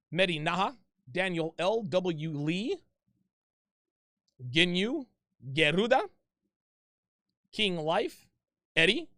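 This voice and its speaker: A man with a fairly high voice hosts a call-in advice show in a loose, chatty way. He repeats words, restarts his sentences and keeps calling people "dude". The sound is close and dry, with no room around it. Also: a bit bright, dry recording. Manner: casual, repeats himself, calls people "dude".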